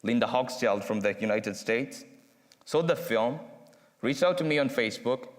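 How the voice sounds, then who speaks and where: A young man with a Kenyan accent delivers a prepared student speech in an earnest, measured way, leaning on dramatic pauses. His voice sits at a middle pitch for a man. The sound carries the long reverb of a large university chapel. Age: young adult. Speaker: student speaker. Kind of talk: prepared speech read aloud.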